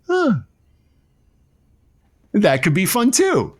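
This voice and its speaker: An actor playing an awkward radio network boss in an audio comedy, polite in a corporate way. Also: male voice, sits high, wildly swooping pitch, extremely slow, exaggerated voice. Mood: awkward, managerial.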